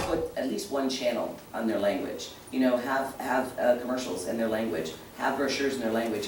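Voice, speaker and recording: A man on a panel speaking briskly and emphatically, thinking aloud. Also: medium pitch, background noise. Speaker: panelist on tourism. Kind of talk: panel discussion. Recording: echoey room, distant mic.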